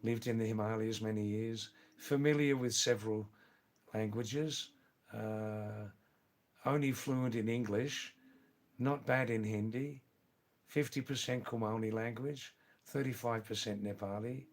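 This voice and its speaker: A man's voice: on the low side, steady tone, unhurried. An older man with an Anglo-Indian accent chatting casually on a live stream, answering viewers' comments with lots of fillers and restarts. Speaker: livestream host.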